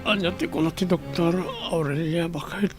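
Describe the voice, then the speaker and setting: A man's voice: fairly high pitch, fairly even intonation, normal speed, over music, close to an everyday voice. A man playing a sick, regretful husband in an Ilocano radio drama, groaning and anguished as he speaks. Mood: weak, remorseful.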